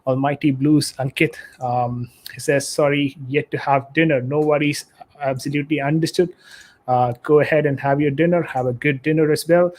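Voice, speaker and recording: Guy hosting a footy livestream, kinda high voice, lots of uh and um while he reads the chat. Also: steady tone, moderate pace, darkish tone. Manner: reads chat messages aloud, hesitant with fillers. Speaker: live stream host. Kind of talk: casual live stream chat.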